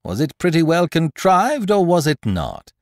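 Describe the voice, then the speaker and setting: A man voicing a teasing, boastful gentleman thief in an audiobook, mocking and theatrical, full of condescending rhetorical questions. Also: a little high, wildly swooping pitch, unhurried, dry recording, clean studio sound, pitched above the performer's own voice, heavily acted. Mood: gleeful teasing. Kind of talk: dramatised audiobook character dialogue.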